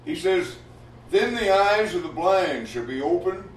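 A man's voice: high-pitched, lively intonation, measured pace. A man teaching scripture in a big, emphatic voice that carries through the room. He keeps checking in with rhetorical questions. He's picked up from some distance in an echoey hall, with faint noise underneath. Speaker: Bible class teacher. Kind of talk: Bible study sermon. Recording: roomy hall, mic from afar, faint noise.

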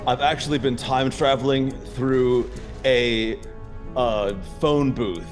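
A man's voice: mid-range voice, steady tone, takes it slowly, soft background music, natural voice. A man playing an earnest wartime hero and time traveler in an improvised audio drama scene. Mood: earnest confession.

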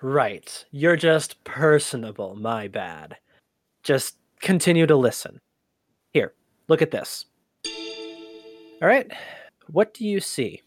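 An actor playing an inquisitive human archivist in an audio drama, with a conversational, halting, often exasperated manner. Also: medium pitch, sing-song, no room sound, clean studio sound, faint music behind. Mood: wry, weary. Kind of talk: scripted audio drama acting.

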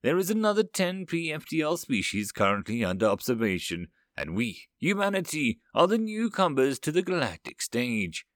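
A man voicing a calm, collected human chancellor in his thirties in a story, measured and diplomatic. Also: fairly high pitch, no echo, studio quality, voice pushed up. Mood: calm, stern.

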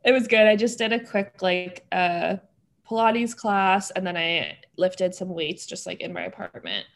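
A woman's voice: on the low side, lively intonation, unhurried, creaky. Chatty young woman podcast host. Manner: chatty, many "like" fillers, laughs easily. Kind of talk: casual chat.

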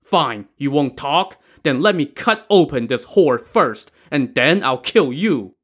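A man's voice: mid-range voice, very animated, a little slow, a bit bright, husky, exaggerated voice. A man voicing a vengeful, fierce constable in a story, roaring threats and giving stern commands. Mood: furious roaring.

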